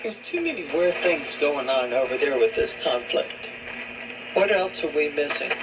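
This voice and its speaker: A woman's voice: low voice, expressive, moderate pace, highs cut off. A woman talking politics conversationally on a radio show, questioning and skeptical, heard over shortwave reception.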